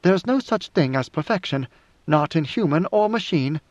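A man voicing a cool, commanding young woman rebel leader in an audiobook, measured, imperious and exacting. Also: fairly high pitch, animated, darkish tone, natural voice. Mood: cool, probing. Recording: roomy, slightly muffled recording.

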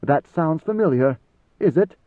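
A man's voice: on the high side, expressive, unhurried, pitched above the performer's own voice. Man acting proud, wary soldier, tinny recording. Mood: wary, challenging. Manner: questioning, blunt, guarded. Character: Duncan Idaho, proud, wary, challenging male soldier. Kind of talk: dramatized audiobook dialogue. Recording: tinny, band-limited, roomy echo.

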